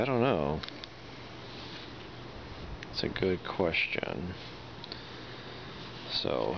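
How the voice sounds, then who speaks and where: A man with a very low voice talks casually through a product unboxing. He thinks aloud with some hesitation as he works. The recording is dull and lo-fi, with heavy noise throughout. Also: creaky. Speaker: product reviewer. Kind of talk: casual unboxing and demonstration talk. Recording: dull lo-fi sound with loud noise.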